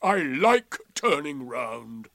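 A man's voice: medium pitch, sing-song, drawn-out words, creaky, a bit bright, dry recording, full character voice. A man voicing a gloomy, sarcastic, aggrieved donkey in a storybook, with ponderous, emphatic delivery. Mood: indignant.

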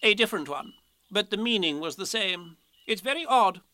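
A man's voice: high voice, expressive, normal speed, bright, forceful tone, no echo, pitched above the performer's own voice. A man voicing a self-important, impatient rabbit in a children's story, curt, emphatic and officious. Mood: solemn. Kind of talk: children's storybook character reading.

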